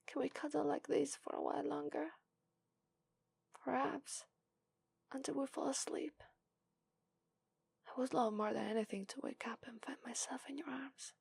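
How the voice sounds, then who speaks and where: A woman with an Italian accent voicing a gentle, lovestruck female fox spirit in a soft, whispered ASMR roleplay. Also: high-pitched, creaky, full character voice. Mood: overjoyed.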